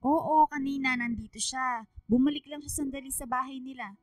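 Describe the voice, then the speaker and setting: A woman's voice: high-pitched, steady tone, moderate pace, dry recording, clean studio sound, natural voice. A woman with a Filipino accent playing a happy, affectionate middle-aged mother, chatty, in a radio drama. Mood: excited, warm.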